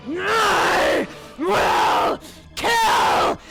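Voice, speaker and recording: A man playing a furious, contemptuous villain in a sci-fi audio drama, shouting with menace. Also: very high-pitched, extremely slow, gravelly, exaggerated voice, low-quality recording. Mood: enraged, mocking. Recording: loud music and crowd noise behind.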